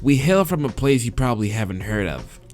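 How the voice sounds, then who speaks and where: A man's voice: mid-range voice, very animated, fairly quick, a little mellow, lightly acted. A man voicing a curt, condescending male creature who runs a library, impatient and haughty, in a visual novel. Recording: music behind the voice.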